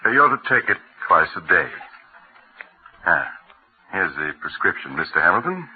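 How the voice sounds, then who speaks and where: A man playing a calm, kindly family doctor and storyteller in an old-time radio drama, measured and reassuring. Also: deep voice, breathy edge, heavily acted. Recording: muffled, narrow-band old radio recording.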